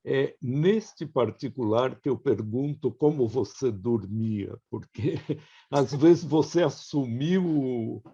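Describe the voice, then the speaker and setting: Slow, mellow Brazilian man, dull audio. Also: mid-range voice, slightly rough. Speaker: participant asking questions. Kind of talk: casual group discussion.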